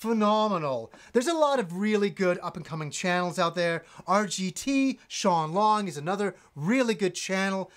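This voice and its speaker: A man in his forties, a gaming channel host, reading donations on a live stream with lively enthusiasm and rambling restarts, in a room with game shelves. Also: high-pitched, moderate pace, few pauses. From Yorkshire, England, raised in Canada. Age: 40s.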